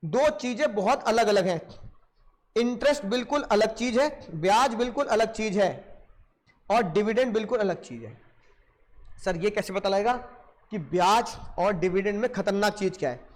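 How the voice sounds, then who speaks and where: High-pitched male commerce teacher with sore throat. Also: expressive, normal speed. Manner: repeats phrases for emphasis, addresses students directly. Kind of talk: animated classroom lecture in Hindi-English mix.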